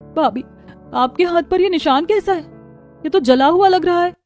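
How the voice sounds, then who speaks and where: A woman's voice: very high voice, moderate pace, darkish tone, soft background music. A woman playing a wronged, principled young married daughter in a cartoon family drama, plaintive and earnest. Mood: concerned.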